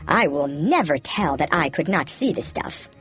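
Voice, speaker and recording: A woman's voice: low voice, expressive, normal speed, a bit bright, pitched below the performer's own voice. A woman voicing a faithful old wise man, an anxious royal adviser in a storybook, fretful and self-questioning. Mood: worried. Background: background music.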